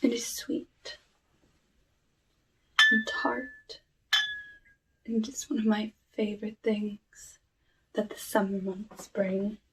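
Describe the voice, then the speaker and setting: Hushed, slow-talking witch, acted by a woman. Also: medium pitch, steady tone, recorded from a distance, a little hiss or hum, low-quality recording. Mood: softly hospitable. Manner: hushed, slow, deliberate, formal old-fashioned phrasing. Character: soft-spoken lonely witch of the woods, courteous, slyly menacing. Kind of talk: ASMR roleplay, soft talking and whispering.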